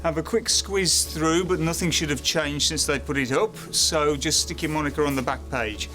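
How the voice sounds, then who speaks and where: An actor playing a busy, harried maintenance supervisor, brisk and managerial, in a naturalistic training film drama. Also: male voice, a little high, sharp tone, soft background music. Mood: brisk, instructing.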